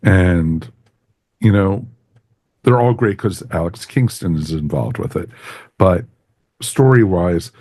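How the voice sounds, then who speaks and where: Male podcast host, very low register, loose rambling conversational delivery, dry recording. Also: animated, slow pace, long pauses, darkish tone, dry recording. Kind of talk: casual review discussion.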